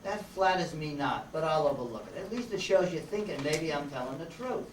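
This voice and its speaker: A man's voice: a little high, animated, fairly quick, close to an everyday voice. A man playing a slangy, wisecracking hard-boiled tough guy in an amateur radio detective play. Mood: wry. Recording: distant echoey room, faint noise.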